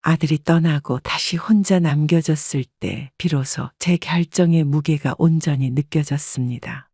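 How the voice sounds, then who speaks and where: A woman in her seventies calmly narrating a reflective first-person life story. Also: low-pitched, a little slow, no echo, studio quality. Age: 70s. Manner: calm, reflective.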